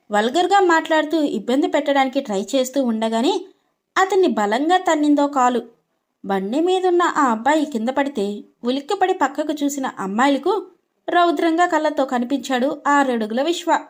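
A woman's voice: sits high, expressive, moderate pace. A woman narrating a scripted dramatic story as its storyteller.

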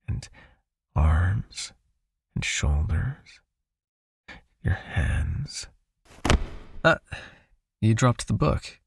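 A man voicing a possessive, soothing vampire hypnotist in an ASMR roleplay, with a hushed, coaxing manner and a raspy, creaky, breathy voice. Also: on the low side, no echo, clean studio sound, full character voice. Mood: gentle, curious. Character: possessive soothing male vampire hypnotist, controlling yet caring.

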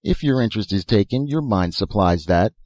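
A man's voice: lowish voice, animated, a little fast, a little mellow, no echo. A man voicing an eccentric, philosophizing old professor who talks in short, insistent phrases and rhetorical questions in a storybook reading. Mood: eager. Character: elfin bearded old male professor, eccentric, philosophical inventor.

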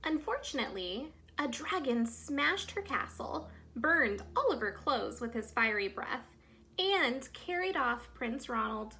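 Young lady reading a kids picture book out loud, high voice, really expressive. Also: measured pace, slight background noise. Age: young adult. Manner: expressive, emphatic. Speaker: teacher reading aloud. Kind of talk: storybook read-aloud for children. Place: small room indoors at home.

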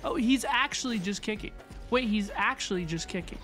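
A woman giving casual, excited reaction commentary as a video host, exclamatory and slangy, often repeating herself. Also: mid-range voice, a little fast, soft background music.

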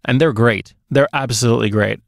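A man's voice: mid-range voice, brisk, vocal fry, no room sound. Man with a close, dry studio voice. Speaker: movie podcast co-host. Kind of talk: casual film review chat. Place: small dark home studio. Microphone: close podcast microphone on a boom arm.

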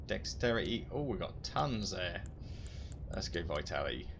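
A man giving casual, excitable gameplay commentary, talking to himself as he plays. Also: low-pitched, normal speed, background noise. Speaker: gaming video host. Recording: loud music behind the voice.

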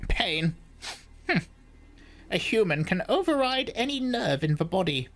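A man's voice: high voice, big pitch swings, unhurried, a bit bright, voice pushed up, heavily acted. A man reading aloud, voicing a stern, commanding old woman elder with a clipped, probing manner. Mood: scornful.

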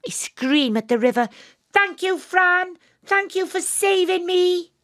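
A woman's voice: very high voice, animated, slow, fairly bright tone, no echo, studio quality, full character voice. An actress playing an earnest, emotional teenage girl in an audio drama. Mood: desperate calling. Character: earnest teenage girl narrating her ghostly adventure, emotional. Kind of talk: audio drama monologue and dialogue.

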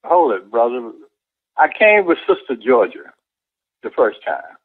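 A husky-voiced man argues on the phone as an indignant church deacon. His pitch moves in lively swings as he firmly defends himself and repeats his points. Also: measured pace, phone-quality sound. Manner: defensive, firm, repetitive. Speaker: church deacon, prank call victim.

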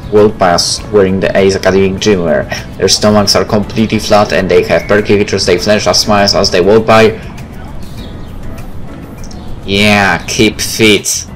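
A man's voice: sits low, steady tone, normal speed. A man doing a let's play, reading visual novel text aloud and adding casual comments. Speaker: gaming video creator. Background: game music.

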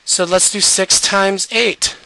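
A man's voice: high voice, fairly even intonation, takes it slowly, vocal fry, bright, forceful tone, no room sound, poor audio. A man teaching a math lesson over an onscreen whiteboard, losing his voice and patiently guiding students step by step.

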